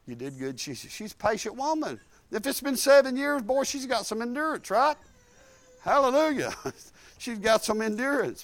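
A man in his late fifties preaching a sermon, animated, rising to loud exhortation and dropping to quiet asides. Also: high-pitched, no echo. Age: 50s.

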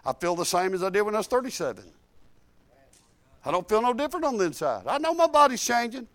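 High-voiced preacher man in his fifties. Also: quick pace, no room sound. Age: 50s. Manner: animated, swinging between loud exhortation and quiet asides. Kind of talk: sermon.

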